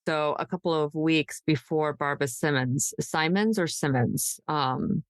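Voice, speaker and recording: A woman podcast host speaks slowly in a lowish voice, close and dry. She discusses true crime casually, with halting pauses as she assembles the details. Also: no room sound.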